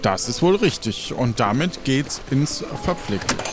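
A man narrating an improvised audio drama as game master, in a measured storytelling way. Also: mid-range voice, sing-song, music in the background.

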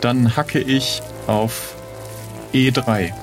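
A man's voice: medium pitch, fairly even intonation, drawn-out words, music in the background. A man playing an improvised audio adventure, casually thinking aloud and hesitantly announcing his moves. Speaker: player in an improvised adventure game.

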